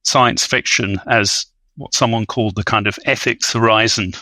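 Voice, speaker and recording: A man's voice: lowish voice, fairly even intonation, normal speed, sharp tone, no echo, poor audio. A man speaking as an expert podcast guest in an academic discussion, with hesitant, scholarly, wordy phrasing.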